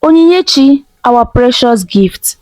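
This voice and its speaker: A woman voicing a tender, tearful young wife, speaking softly. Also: sits high, animated, a little slow, no echo, poor audio. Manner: soft, heartfelt.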